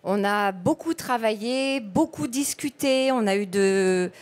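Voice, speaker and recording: A woman's voice: mid-range voice, slow. A woman elected official on a panel, speaking with frequent hesitations and fillers. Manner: hesitant, filler-heavy speech. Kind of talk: conference panel answer.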